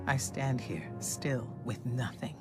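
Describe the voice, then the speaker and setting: A grave, commanding warrior-mage. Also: female voice, a bass voice, loud music behind the voice. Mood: solemn. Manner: solemn, measured, commanding. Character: grave, wise woman warrior-mage, weary leader. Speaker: game character. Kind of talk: video game cutscene acting. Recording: game mix with loud score.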